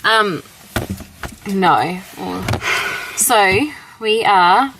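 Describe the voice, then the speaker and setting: A woman's voice: mid-range voice, animated, drawn-out words, crowd noise, low-quality recording. A woman vlogger chatting casually in an informal, sweary, giggly way. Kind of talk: casual vlog chat.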